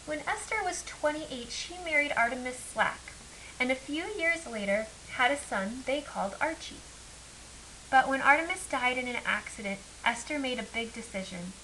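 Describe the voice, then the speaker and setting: A woman reading a children's picture book aloud as an expressive storyteller. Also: high-pitched, moderate pace, low-quality recording. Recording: clear background hiss.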